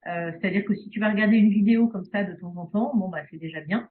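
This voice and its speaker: A woman's voice: mid-range voice, fast talker. A woman from Belgium, a speech therapist talking casually in an interview, hesitant with many fillers and restarts. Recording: phone-like video call audio.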